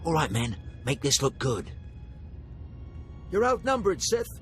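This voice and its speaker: A man's voice: on the high side, wildly swooping pitch, measured pace, faint music behind. A man voicing an officious guard captain in a video game. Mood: officious bluster. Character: officious male guard captain, secretly deferential.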